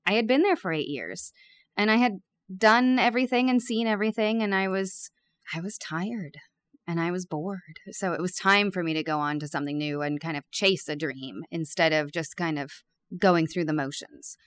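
A woman's voice: fairly low pitch, moderate pace, a bit bright. A woman in her thirties, a bakery owner, talking openly and candidly as an interview guest with some self-deprecating humor. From born in Peru, raised abroad. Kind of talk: casual interview chat.

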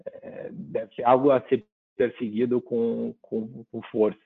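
A man's voice: medium pitch, flat delivery, unhurried, gravelly, breathy edge. Man over a tinny line. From southern Brazil. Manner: thoughtful, often ends with tag questions. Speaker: guest. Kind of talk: reflective interview answers. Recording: phone-like narrow line.

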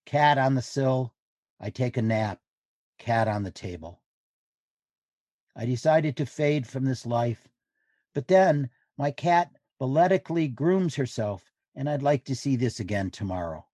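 A man giving a literary reading of diary entries in measured, deliberate phrases. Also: mid-range voice, expressive, dark tone, dry recording, studio quality.

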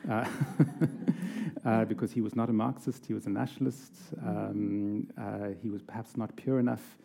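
A man's voice: lowish voice, little pitch movement, normal speed, creaky, dark tone. A man speaking as a guest in an interview, thoughtful and hesitant, repeating words as he begins his points. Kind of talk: reflective interview answer.

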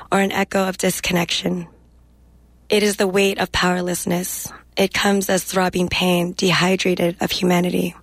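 A young woman guest speaking reflectively in a radio interview and reading her poetry in a measured way. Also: lowish voice, creaky, dry recording. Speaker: guest poet.

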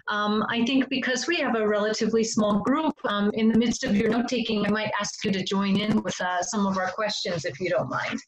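A woman's voice: mid-range voice, low-quality recording. Friendly woman hosting a video call. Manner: friendly, halting with many ums. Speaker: host of an online discussion. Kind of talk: welcoming video-call moderation.

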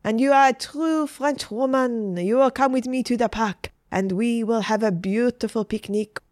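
A woman's voice: fairly high pitch, moderate pace, a little mellow, no echo, clean studio sound, voice pushed up, close to an everyday voice. A woman voicing a melodramatic French male teacher in a story, lovestruck, pleading and theatrical. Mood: ardent.

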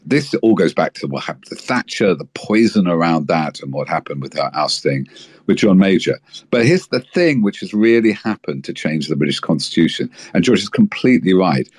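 A man's voice: deep voice, very animated, moderate pace, a little mellow, breathy edge, no echo, clean studio sound. A man on as a guest, giving fluent and well-informed political analysis. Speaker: guest commentator.

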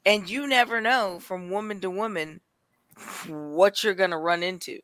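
A woman speaks in a bright, forward voice at a middle pitch. The sound is very dry, with no room around it. She talks casually, as a participant in an opinionated chat show. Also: moderate pace, no echo.

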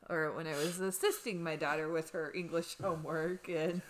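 A woman's voice: deep voice, steady tone, normal speed, a little hiss or hum. A woman co-hosting a podcast, chatting casually with dry, laid-back teasing. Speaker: podcast co-host. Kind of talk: casual chat.

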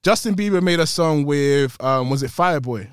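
A man with a fairly high, very animated voice. He debates music casually with friends, repeating points emphatically, and the sound is dry and close. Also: normal speed, smooth, no room sound. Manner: emphatic, repetitive, opinionated.

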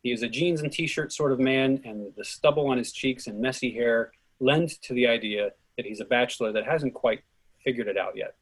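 A man with a husky voice reading out a play's stage directions and cues as scripted narration. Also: medium pitch, normal speed, low-quality recording.

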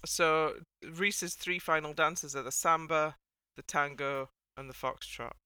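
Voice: medium pitch, steady tone, unhurried, sharp tone, clean tone, no room sound, clean studio sound. A podcast host chatting casually on a panel, with a wry, wordy, mock-formal way of putting things. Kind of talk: casual panel chat about a TV dance show.

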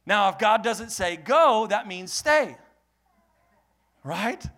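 A man preaching a sermon in a lively, joking way, swelling loud, then dropping to quiet teaching. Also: high-pitched, slow. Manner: animated, jokey preaching with sudden loud swells and quiet stretches. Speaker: pastor.

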